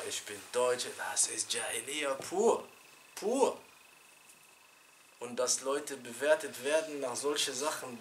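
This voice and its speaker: A middle-aged man teaching an informal religious lesson in a small room, animated and chatty, laughing with his students and restarting his sentences. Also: mid-range voice, noisy background. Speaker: sheikh teaching a Quran class. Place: small indoor classroom.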